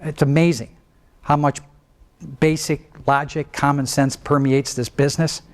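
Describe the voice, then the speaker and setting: A middle-aged man, a trial lawyer, lecturing law students in an animated way, swinging between a low calm voice and sudden raised mimicry. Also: a little slow. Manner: animated, mimics other lawyers with rising pitch, then drops low to show restraint.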